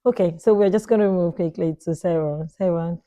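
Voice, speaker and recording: A woman hosts a relaxed panel chat in a low, mellow voice. She circles back on her words and leans on plenty of "um"s as she keeps the talk moving. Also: a little fast. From Nigeria. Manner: repetitive, hesitant with many fillers. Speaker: podcast host. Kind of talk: casual panel discussion.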